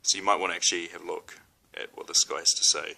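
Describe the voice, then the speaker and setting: A man's voice: low voice, fairly even intonation, quick pace, vocal fry, bright, forceful tone, poor audio. A young man with a New Zealand accent talks casually to camera, hesitant, with many ums and restarts. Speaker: spiritual vlogger.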